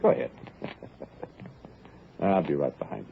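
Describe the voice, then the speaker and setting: A gruff, deep-voiced fugitive. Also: male voice, big pitch swings, takes it slowly, full character voice. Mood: coaxing. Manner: gruff, dismissive, brushes off fears. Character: hard, impatient man on the run with his girl. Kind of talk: 1940s radio acting. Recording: tinny, noisy old radio broadcast.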